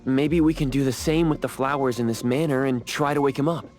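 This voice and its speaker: An actor voicing a focused male team member in a video game, speaking in a measured, deliberate way. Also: medium pitch, fairly even intonation, a little mellow, natural voice.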